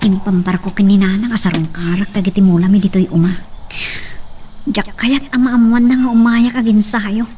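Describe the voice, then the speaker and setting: A woman's voice: mid-range voice, steady tone, moderate pace, dark tone, slight background noise, natural voice. A woman playing a worried young woman who muses aloud in a radio drama.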